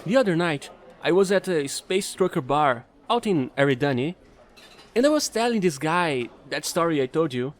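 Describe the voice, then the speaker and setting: A man playing a chatty, excitable fallen alien angel who trades in space, gushing and rambling in an audio roleplay. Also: sits high. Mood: amused, chatty. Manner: bubbly, gushing, rambling, apologetic.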